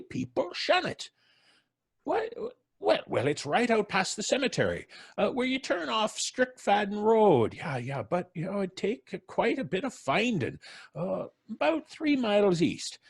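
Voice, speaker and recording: A man's voice: high-pitched, gravelly, husky, pitched above the performer's own voice, exaggerated voice. A man voicing a rambling, superstitious old country man in old time radio drama style, folksy and garrulous with muttered hesitations. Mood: folksy, reminiscing. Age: older.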